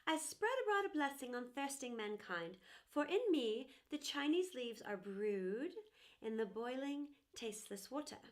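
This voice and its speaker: A high-pitched, self-important porcelain teapot with a wistful streak, voiced by a woman. It tells its life story in a grand, emphatic storybook manner meant for children. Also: expressive, a little slow. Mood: proud, self-important. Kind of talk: children's storybook character narration.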